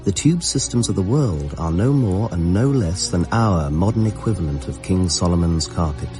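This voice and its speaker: An actor playing an eager, polite, bookish railway enthusiast with an English accent, earnest and slightly flustered, in a radio drama. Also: male voice, sits low, lively intonation, moderate pace, over music. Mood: earnest, wondering.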